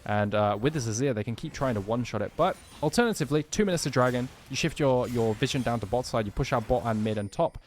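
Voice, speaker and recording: A man's voice: medium pitch, fast talker, hardly any pauses, a little hiss or hum. A man casting a live esports match, giving breezy play-by-play and analysis. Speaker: esports caster.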